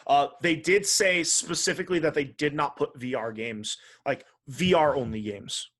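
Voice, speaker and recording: A man's voice: fairly high pitch, normal speed, a bit bright. A man co-hosting a video game podcast, chatting casually in a blunt, opinionated way. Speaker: podcast host.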